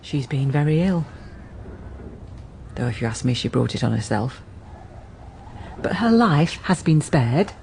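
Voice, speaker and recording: Firm housekeeper, deep for a woman, level-headed, period radio play. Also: animated, a little slow, gravelly, pauses often, a little mellow, slight background noise, exaggerated voice. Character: plain-spoken, sensible, firm housekeeper of mature years. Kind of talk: radio drama acting.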